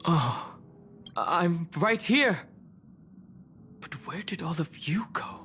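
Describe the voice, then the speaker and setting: An actor playing a gentle, bewildered, pensive young male traveller in a video game. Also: a little high, animated, takes it slowly, a little hiss or hum, exaggerated voice. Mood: lost, dreamy. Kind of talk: English video game voice acting. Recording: game music underneath.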